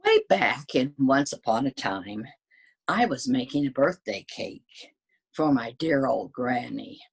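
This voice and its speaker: Storybook wolf played by a woman: sly, folksy, self-justifying, low and slow-paced. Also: big pitch swings, creaky, slightly rough, heavily acted. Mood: folksy, incredulous. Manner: conversational, protesting his innocence. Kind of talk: dramatic children's storybook reading.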